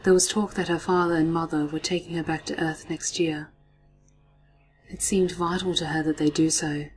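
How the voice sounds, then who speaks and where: A woman reading a story aloud, often dropping into hushed, near-whispered narration. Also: deep voice, rough recording.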